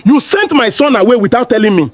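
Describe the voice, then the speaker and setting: A man's voice: a little high, expressive, moderate pace, no echo, studio quality. An actor playing a stern, proud father and family head in a village folktale. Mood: angry.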